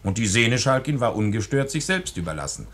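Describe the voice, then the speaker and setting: A man's voice: mid-range voice, big pitch swings, a little fast, a bit bright. A man narrating a scripted story in the theatrical, leisurely manner of 1940s radio.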